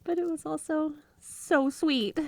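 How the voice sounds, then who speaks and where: A woman playing a flirty, obsessively devoted yandere wife in an intimate ASMR roleplay, gushing straight to the listener with drawn-out emphasis. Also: high-pitched, no room sound. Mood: giddy, flirty. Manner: gushing, breathy giggles, drawn-out emphasis on words.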